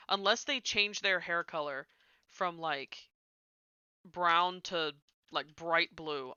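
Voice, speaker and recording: A woman chats on a stream while she builds in a game, wandering off mid-thought and muttering to herself. Her voice is very bright and smooth, sitting right on a dry close mic. The sound is a little dull and lo-fi. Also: deep voice, dry recording.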